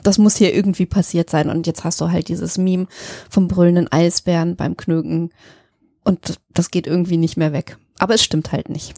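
A woman's voice: on the low side, steady tone, normal speed, dark tone, dry recording, studio quality. A woman explaining things in casual chat as a podcast co-host.

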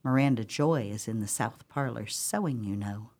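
Woman playing stern guarded sister in a radio drama, really deep voice for a woman, formal. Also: moderate pace, a little mellow. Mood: wary, hushing. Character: Caroline, stern, guarded sister hushing others. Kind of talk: radio play acting.

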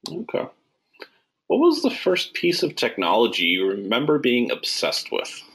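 Host: a man with a gravelly, fry-laden voice who asks loose, casual questions. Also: medium pitch, wildly swooping pitch, moderate pace, a bit bright. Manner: casual, hedging questions with fillers. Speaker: podcast host. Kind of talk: interview questions.

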